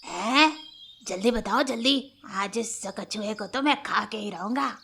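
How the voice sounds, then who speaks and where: A woman voicing a greedy, dim-witted male leopard in an animated children's fable, with a menacing, blustering, drawn-out delivery. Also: mid-range voice, creaky, a little hiss or hum. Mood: impatient, eager.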